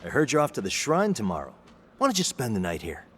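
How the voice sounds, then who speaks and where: A man's voice: mid-range voice, huge pitch swings, quick pace, husky. An actor playing a hearty, welcoming townsman in a video game, friendly in manner. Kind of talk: video game cutscene acting.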